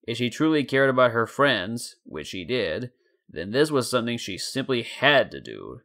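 A man's voice: medium pitch, very animated, normal speed, pushed and bright. A man in his twenties reading a scripted story as a dramatic narrator. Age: 20s. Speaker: story narrator and channel host.

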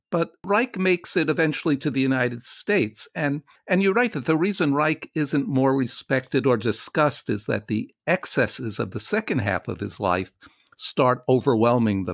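A man's voice: medium pitch, dry recording, clean studio sound. A man interviewing for arts radio in a conversational, reflective way.

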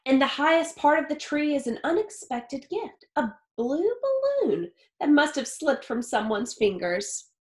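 A woman in her thirties reading a picture book aloud like a teacher, expressively and with deliberate phrasing for young listeners. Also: high-pitched, expressive. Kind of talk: expressive children's read-aloud. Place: small home office or study with wooden shelves. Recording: roomy, echoey indoor sound.

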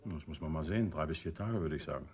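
An actor playing a courteous, composed middle-aged detective in a radio crime drama, speaking in a measured, conversational way. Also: male voice, very deep voice, a little mellow. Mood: friendly, businesslike.

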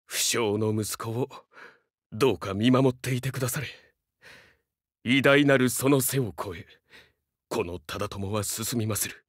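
A man's voice: mid-range voice, a little slow, long pauses, dry recording, studio quality, close to an everyday voice. A man voicing a young, fiercely loyal dog-man samurai in a Japanese game, speaking earnestly in formal, archaic warrior speech with dramatic delivery. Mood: solemn, wistful.

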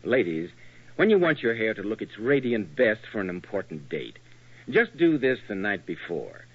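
A man's voice: lowish voice, very animated, moderate pace, husky, faint music behind. A man announcing a 1940s radio commercial in a polished, salesmanlike way. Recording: dull old radio recording.

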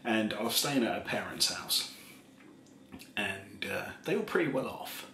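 Man of forty with a bright echoey voice. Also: lowish voice, expressive, a little slow, a little hiss or hum. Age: 40s. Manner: rambling, laughs often, self-mocking. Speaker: ASMR creator. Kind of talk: casual soft-spoken storytelling. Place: small kitchen indoors.

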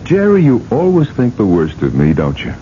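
A man's voice: mid-range voice, huge pitch swings, normal speed, warm tone. A man acting in a radio drama as a cunning, ruthless industrialist, cynical and defensive. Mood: glib, persuasive. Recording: dull, noisy old radio recording.

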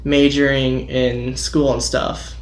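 A man's voice: mid-range voice, slow. A young adult man co-hosting a casual podcast, bantering with dry jokes. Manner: joking, deadpan bits. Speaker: podcast co-host. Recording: echoey room.

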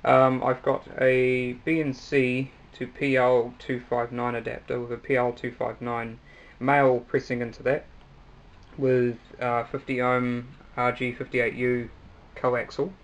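A young man with a New Zealand accent, giving a casual, hesitant hobbyist demonstration with frequent pauses. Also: mid-range voice, little pitch movement. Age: young adult. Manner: hesitant, halting delivery with pauses. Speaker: hobbyist video maker. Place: small cluttered room at home, indoors. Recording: noisy amateur home recording.

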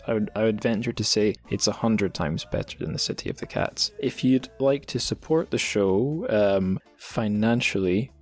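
A man's voice: on the low side, darkish tone. A man hosting a podcast, chatting casually in a rambling way with wry asides. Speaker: podcast host. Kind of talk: casual literary podcast chat.

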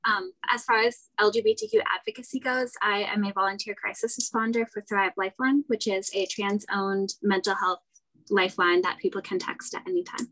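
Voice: medium pitch, a little fast, sharp tone, slight background noise, poor audio. A young adult engineer from Colorado giving an orderly self-introduction on a video call. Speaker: panelist, engineer.

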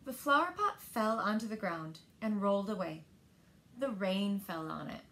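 A woman in her thirties reads picture books aloud to young children with expressive, deliberate phrasing, recorded indoors in a living room. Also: mid-range voice, a little hiss or hum. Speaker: storyteller at a library story time.

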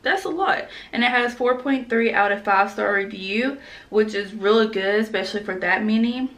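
Young woman vlogger, casual and blunt, mid-pitch, recorded slightly back from the mic at home. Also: normal speed, a bit bright, far from the mic. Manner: chatty, frank, peppered with "like" and slang. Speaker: fashion vlogger. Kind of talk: casual try-on haul chat. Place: small room indoors at home.

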